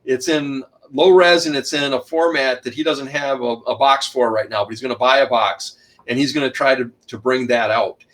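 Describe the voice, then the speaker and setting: A middle-aged man, an author and shipwreck researcher, talking loudly as a guest in an online interview and packing in detail with many restarts. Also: steady tone, normal speed. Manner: loud, booming voice, packs in lots of detail; rambling, frequent restarts and uh fillers.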